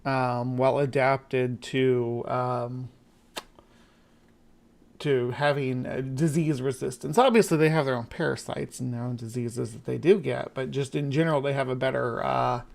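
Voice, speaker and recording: A man's voice: mid-range voice, fairly even intonation, unhurried, gravelly. A man hosting a casual live stream, talking in a rambling way with many fillers and restarts. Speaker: stream host.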